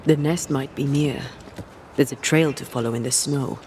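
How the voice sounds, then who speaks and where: A man playing a grave, watchful ghost-hunting companion in a video game, speaking in measured, ominous, formal phrases. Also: mid-range voice, fairly even intonation, a little mellow, slight background noise, close to an everyday voice. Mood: urgent. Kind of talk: dramatic video game dialogue.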